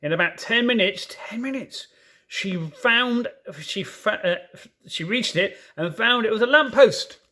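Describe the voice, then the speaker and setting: A man narrates a children's storybook in a lively, highly varied voice that sits fairly high. His delivery is expressive and dramatic, with playful asides along the way. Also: measured pace.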